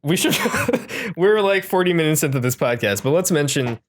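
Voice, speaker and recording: A man's voice: fairly high pitch, animated, moderate pace, a little hiss or hum. A man co-hosting a podcast, chatting casually about films in a joking, bantering way. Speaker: podcast co-host. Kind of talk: casual film-discussion chat.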